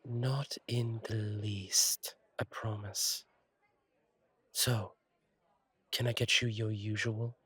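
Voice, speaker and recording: A man plays a fallen-angel bartender with a lowish, husky voice, recorded close and dry. He chats to a regular in an intimate roleplay style, reassuring and attentive. His humour is gentle and wry, and his wording turns slightly formal. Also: level tone, drawn-out words, long pauses, no echo, exaggerated voice. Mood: welcoming, reassuring. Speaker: bartender character in audio roleplay. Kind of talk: intimate one-sided roleplay audio acting.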